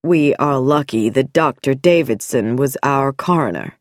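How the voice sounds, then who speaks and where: A woman reading an audiobook voices a smooth, calm, quietly mocking middle-aged male doctor in a lowered voice. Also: a little slow, no room sound, studio quality.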